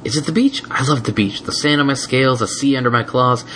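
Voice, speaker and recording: Man voicing a whiny, high-pitched baby boy dragon. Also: fast, a bit bright, slight background noise, close to an everyday voice. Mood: excited, whiny. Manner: pleading, indignant, whining. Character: whiny excitable ten-year-old male baby dragon. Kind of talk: fan fiction audiobook character voice.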